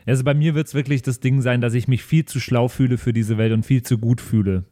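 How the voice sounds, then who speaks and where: A man's voice: medium pitch, steady tone, brisk, warm tone. A man talking casually with others while brainstorming ideas. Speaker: podcast player in an audio drama cast.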